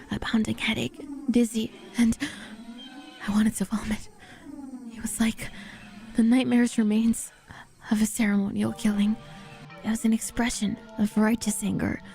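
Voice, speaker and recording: A woman voicing a traumatized, bitter teenage boy narrating a horror visual novel in a breathy, halting, haunted way. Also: fairly high pitch, darkish tone. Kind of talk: dramatic visual novel readalong.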